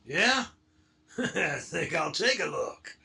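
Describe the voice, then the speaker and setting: Casting: slow, gravelly, leering undertaker's helper, slangy, old-time radio horror. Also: male voice, fairly high pitch, sing-song, pushed and bright, full character voice. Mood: leering amusement. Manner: slangy, casual, chuckling. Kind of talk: 1930s radio horror acting.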